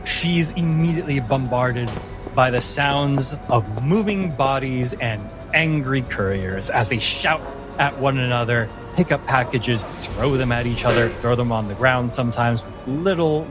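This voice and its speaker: Male game master, medium pitch, descriptive and dramatic storytelling, clear music bed. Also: moderate pace. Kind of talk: descriptive tabletop game narration. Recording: music playing under the voice.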